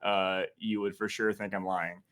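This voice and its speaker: A man in his thirties, a guest in a casual interview, talking in a relaxed way with many fillers. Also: low-pitched, moderate pace. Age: 30s. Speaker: cinematographer guest.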